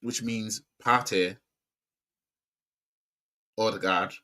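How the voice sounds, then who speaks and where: A man's voice: lowish voice, steady tone, very slow, bright, forceful tone. A man with a Yorkshire accent giving a casual, opinionated football match preview on his stream, blunt and slangy. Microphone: streaming desk mic.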